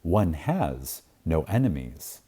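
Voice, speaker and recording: Male audiobook reader, deep and unhurried, reverent and emphatic, lets each pause land. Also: sing-song, warm tone, slightly rough. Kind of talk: reading a spiritual self-help book aloud.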